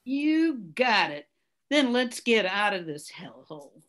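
Older woman as a slow, sardonic motel dame. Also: mid-range voice, very animated, fairly bright tone, slight background noise. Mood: hard, vengeful. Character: tough, bitter, blackmailing motel desk woman. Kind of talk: 1940s radio drama acting. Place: home room, video call. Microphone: computer mic on a video call.

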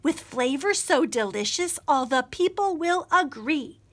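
High, eager young princess read by a woman. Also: expressive, unhurried, a bit bright. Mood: hopeful. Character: eager, inventive young princess scientist in a storybook.

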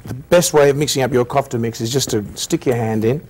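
A man's voice: mid-range voice, brisk, a little mellow, a little hiss or hum. A man hosting a cooking show, talking the viewer step by step through a recipe. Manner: friendly step-by-step instructions. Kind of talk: cooking demonstration.

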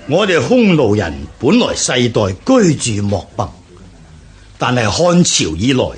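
An actor playing an eloquent, resolute Xiongnu noble rallying his tribesmen, declaiming in Hong Kong Cantonese in a historical radio drama. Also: medium pitch. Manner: declamatory, oratorical.

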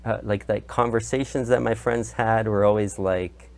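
A man's voice: on the low side, fairly even intonation, normal speed, vocal fry. A young man who grew up partly in Poland, talking as a podcast guest in a hesitant, rambling way with many fillers. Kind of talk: casual interview talk.

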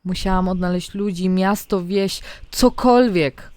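A woman narrating a scripted fantasy diary in the first person, with dramatic, expressive storytelling. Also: medium pitch, measured pace. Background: background music.

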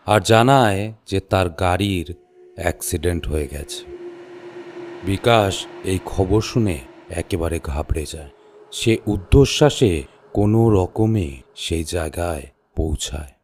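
A man narrating a scripted horror story in measured, suspenseful short phrases. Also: sits low, a little mellow, a little hiss or hum.

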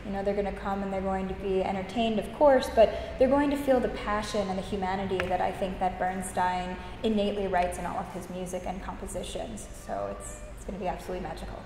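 A woman's voice: medium pitch, fast, hardly any pauses. A young American woman, an opera singer, answering interview questions with enthusiasm and frequent laughter, recorded indoors from some distance. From United States. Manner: enthusiastic, laughs often, warm gushing praise. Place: room with high windows, indoors. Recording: echoey room, reverb, faint noise.

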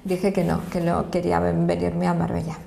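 Older Madrid woman, low gravelly voice. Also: completely flat delivery, dark tone, slight background noise. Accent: Spanish from Madrid. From Madrid, Spain. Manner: earnest, measured explanations. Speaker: association president. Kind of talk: sit-down interview. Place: indoor office room. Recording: echoey room.

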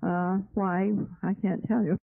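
A woman's voice: mid-range voice, level tone, unhurried, gravelly. A woman giving unscripted descriptive commentary on old film, explaining what is shown, on a narrow, old radio-like recording.

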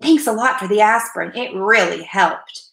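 A woman's voice: mid-range voice, normal speed, gravelly, a bit bright, reverberant. A woman voicing a young boy from a children's storybook, polite and curious. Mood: grateful.

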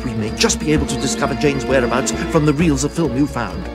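An actor playing a fussy, excitable, fatherly old professor in a cartoon-style video game, speaking with urgency. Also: medium pitch, fast talker, lightly acted. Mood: agitated, urgent. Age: older. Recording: loud music behind the voice.

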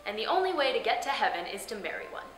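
Bright-voiced young woman hosting. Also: on the high side, big pitch swings, fast. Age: young adult. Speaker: host. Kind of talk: scripted comedic explainer narration. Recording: roomy sound with background music. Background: music.

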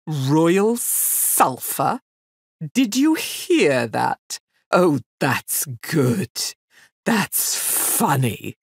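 A woman voicing a huge, mocking male fire fiend in a storybook reading, slow and booming. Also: sing-song, husky, no room sound, studio quality, full character voice.

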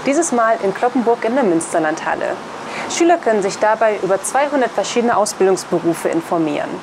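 A woman's voice: medium pitch, very animated, a little fast. A young woman presenting scripted news as the host of a weekly show. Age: young adult. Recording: clear background noise.

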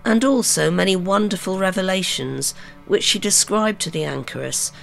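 A woman giving a solemn, measured scripted reading of an old religious text in a formal manner. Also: medium pitch, vocal fry, soft background music.

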